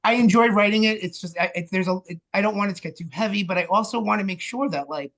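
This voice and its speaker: An American man chatting casually as an interview guest, animated and haltingly thinking aloud. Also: high-pitched. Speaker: actor, director and playwright, podcast guest.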